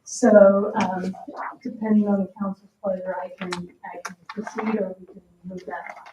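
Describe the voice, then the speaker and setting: A woman presenting a request at a public meeting, speaking in a halting, fairly informal way. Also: medium pitch, little pitch movement. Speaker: town staff presenter. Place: town council meeting room. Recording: echoey room, distant mic, dull, noisy.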